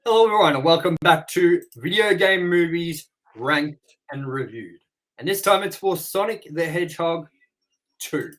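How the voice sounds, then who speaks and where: A young man hosts a casual review podcast with crude jokes and a steady stream of swearing. His voice sits a little on the high side. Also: normal speed, poor audio. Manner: crude, joking banter with frequent swearing. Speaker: podcast host.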